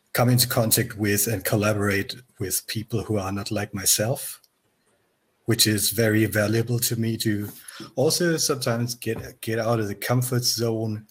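An older man from Germany with a German accent, a software developer talking on a video call with many fillers and restarts. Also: fairly low pitch, slightly rough. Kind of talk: casual video call chat. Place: small room at home. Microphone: headset on a video call.

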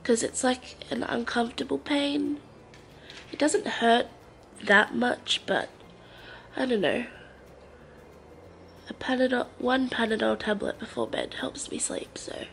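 Congested young Australian woman vlogging slowly. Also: a little high, gravelly, fairly bright tone, a little hiss or hum. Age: young adult. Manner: rambling, hesitant, many fillers. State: congested, blocked nose. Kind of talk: casual vlog chat to camera. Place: living room of a house, indoors. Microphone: handheld camera at arm's length.

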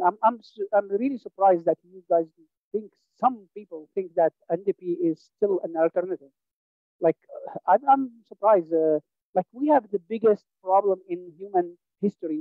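Man, halting and emphatic. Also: high voice, no echo. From Syria. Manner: halting, many fillers and restarts, emphatic. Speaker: audience member. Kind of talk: impassioned political comment. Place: small home room, indoors, video call. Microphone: headset mic. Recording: dull dry video-call audio.

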